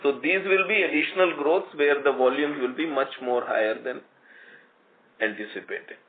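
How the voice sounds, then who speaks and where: A man's voice: a little high, moderate pace. A man speaking as a company executive, answering analysts' questions on a conference call. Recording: tinny phone line with clear noise.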